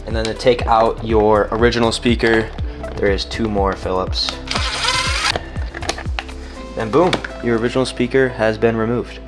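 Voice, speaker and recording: A man's voice: fairly low pitch, fairly even intonation, moderate pace, long pauses, music in the background. A man hosting a DIY car tutorial, giving plain, informal step-by-step instructions in a casual how-to style.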